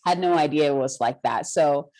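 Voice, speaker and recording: A woman's voice: low-pitched, normal speed, mellow. A woman from Ghana hosting a spiritual conversation, speaking in an earnest, affirming, emphatic way.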